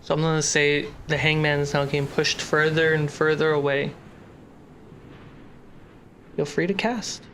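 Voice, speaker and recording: A game master hosting and narrating a tabletop fantasy game in a dramatic, measured way with pauses. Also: mid-range voice, steady tone, a bit bright, slight background noise. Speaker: game master and host of the show. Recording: music behind the voice. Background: plucked guitar music.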